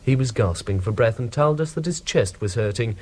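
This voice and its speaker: Actor as chatty, lively male office clerk. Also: mid-range voice, a little fast, lightly acted. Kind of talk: radio drama acting.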